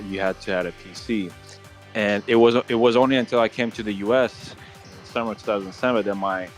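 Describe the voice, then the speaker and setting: A man from Ukraine in his thirties speaks in a fairly low voice. He chats casually about video games, halting as he goes, with music playing in the background. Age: 30s.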